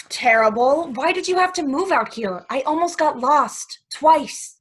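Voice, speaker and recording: A woman's voice: high voice, lively intonation, a little slow. A woman acting a playful, impatient young mistress of the 1950s at a stage play table reading. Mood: mock indignant. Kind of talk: stage play table read, romantic dialogue.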